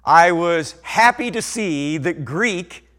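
Animated Ozark preacher. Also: male voice, on the high side, takes it slowly. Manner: animated, swings from soft to loud emphasis. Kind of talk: sermon.

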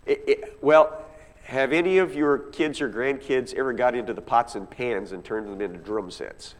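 A man's voice: mid-range voice, moderate pace. A man teaching from the Bible in an emphatic, conversational way, often putting rhetorical questions to his listeners. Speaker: Bible class teacher or preacher. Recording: echoey hall with long reverb.

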